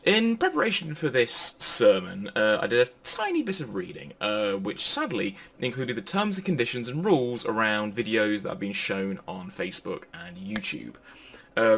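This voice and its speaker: A man's voice: medium pitch, vocal fry, fairly bright tone. Guy preaching a casual sermon, chatty, jokey, voice goes up and down a lot. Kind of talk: informal pre-recorded sermon.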